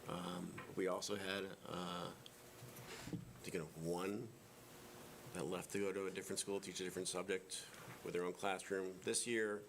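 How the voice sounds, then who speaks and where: A man's voice: sits low, little pitch movement, moderate pace, background noise. A man, a school administrator, answering board members' questions at a public meeting, hesitating and restarting often. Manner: hesitant, many restarts and fillers.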